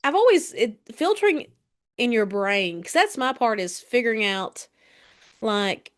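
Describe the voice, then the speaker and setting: A woman's voice: on the high side, sing-song. A woman from Kentucky co-hosting a casual podcast, upbeat and agreeable. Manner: upbeat, agreeable, quick to laugh. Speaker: podcast co-host.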